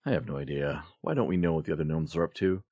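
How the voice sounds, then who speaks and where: A man's voice: very deep voice, animated, fast, dark tone, dry recording, studio quality, full character voice. A man playing a wisecracking gnome with a wry, offhand delivery in a comedic audio skit. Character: dry, wisecracking male gnome.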